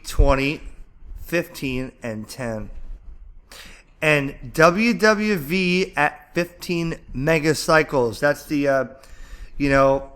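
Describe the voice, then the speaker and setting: A man talking casually and excitedly as an amateur radio vlogger, chatty and rambling as he thinks aloud. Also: medium pitch, clear, resonant tone. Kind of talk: casual enthusiastic vlog commentary, browsing and narrating.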